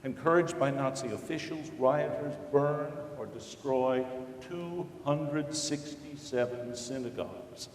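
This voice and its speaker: A man reading scripted historical narration in a measured, formal manner. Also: a little high. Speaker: reader in a dramatic reading. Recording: distant mic in echoey hall.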